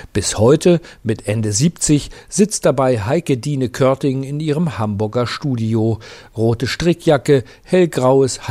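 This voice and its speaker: A man's voice: medium pitch, dark tone. A man reading scripted narration as the reporter of a radio feature.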